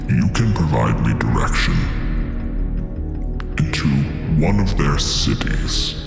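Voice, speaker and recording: An immense, haughty dragon rumbles out of long cavernous reverb over loud music. The voice is extremely low and full of menace, issuing formal, imperious demands in a tabletop fantasy game. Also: male voice, wildly swooping pitch, full character voice. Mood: haughty, demanding. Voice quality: deep, gravelly. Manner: slow, imperious, formal speech.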